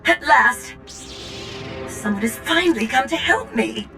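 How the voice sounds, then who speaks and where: A woman's voice: sits high, expressive, measured pace, over music. A woman voicing a frightened female medical droid who begs for help, in a game cutscene. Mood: pleading. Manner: desperate, begging.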